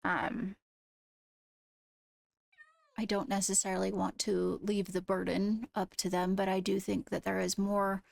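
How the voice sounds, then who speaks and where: A woman speaking in a measured, deliberate way with formal wording, improvising in-character roleplay dialogue. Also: mid-range voice, level tone, vocal fry, a little mellow, dry recording. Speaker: roleplayer in a Minecraft court drama.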